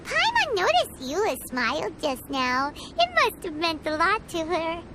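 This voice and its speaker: A woman voicing a tiny, excitable, chatty fairy companion in a video game, with a squeaky childlike voice. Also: a little slow, very noisy, exaggerated voice. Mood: chatty, musing. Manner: refers to self in third person, exclamatory. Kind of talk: English game voice acting. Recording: loud game sound behind voice.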